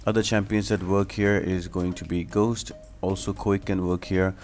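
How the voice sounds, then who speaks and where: Man, deep voice, casual gaming guide narration, explanatory, talking directly to viewers. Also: little pitch movement, normal speed, darkish tone, faint music behind. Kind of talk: casual game walkthrough commentary.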